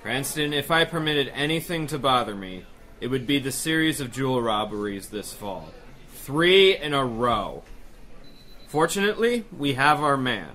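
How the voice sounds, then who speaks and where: A man playing a brisk, confident police commissioner. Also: medium pitch, lively intonation, sharp tone. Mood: self-assured. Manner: brisk, self-assured. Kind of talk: 1930s radio drama acting.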